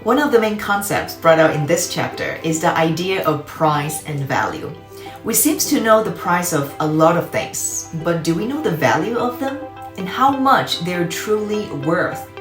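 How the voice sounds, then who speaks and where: A woman's voice: lowish voice, lively intonation, moderate pace. A woman hosting a children's read-aloud, explaining words and putting questions to the listener as she narrates. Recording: roomy echo, faint music behind.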